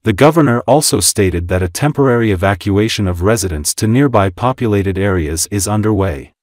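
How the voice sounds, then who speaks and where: A man reading a scripted news voice-over in a flat, formal reporting manner. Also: on the low side, fairly quick, dry recording, clean studio sound. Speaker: news narrator.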